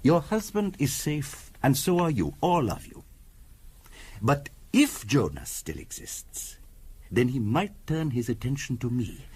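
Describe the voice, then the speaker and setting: An actor playing a weary, cautious spirit medium in a 1970s radio drama, speaking in a formal, measured, grave way. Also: male voice, medium pitch, very animated, darkish tone, slightly rough. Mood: weary, warning.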